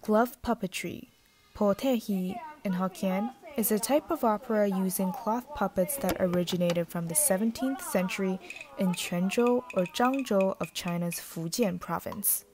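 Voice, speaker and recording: A woman reading a scripted documentary narration in a measured, explanatory way. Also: medium pitch. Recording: voice-over with music bed.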